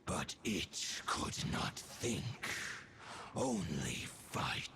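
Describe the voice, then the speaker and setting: A man voicing an ancient, proud wolf spirit in a video game, gravelly, with stately and archaic formal phrasing. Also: extremely low pitch, very animated, extremely slow, background noise, heavily acted. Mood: solemn.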